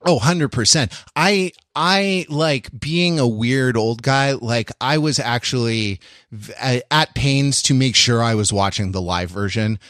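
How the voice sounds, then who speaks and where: Halting, erudite middle-aged man with a dry sound. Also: mid-range voice, fairly bright tone, no echo. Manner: halting, digressive, searching for words; erudite, wry. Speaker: podcast host. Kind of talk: casual intellectual chat.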